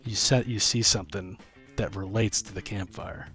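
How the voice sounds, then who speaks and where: A man's voice: fairly low pitch, steady tone, moderate pace, fairly bright tone, soft background music. A man hosting a casual discussion of tabletop role-playing games, thinking aloud in a rambling way. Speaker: podcast host.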